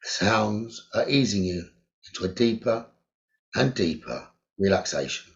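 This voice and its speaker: Slow, soothing man guiding meditation. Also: on the low side, lively intonation. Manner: slow, soothing, repetitive instructions with long pauses. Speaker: meditation guide. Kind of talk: guided meditation. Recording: dull lo-fi recording.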